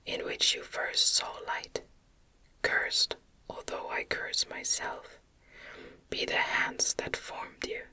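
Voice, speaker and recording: Female ASMR reader for gothic fiction: breathy whisper throughout, gentle and measured, very slow. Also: very high voice. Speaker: audiobook reader. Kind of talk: whispered ASMR novel reading.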